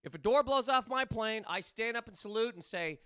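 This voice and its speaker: Guy comedian ranting at the camera, high crisp voice, super dry sound, incredulous and emphatic, trips over words. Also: lively intonation, a little fast, bright, forceful tone, dry recording. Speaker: comedian and host.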